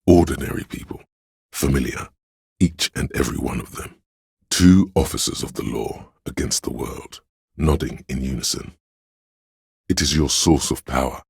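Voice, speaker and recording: A man narrating a game script in a measured, grave, literary manner, with a very deep, gravelly, husky voice. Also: no echo, clean studio sound.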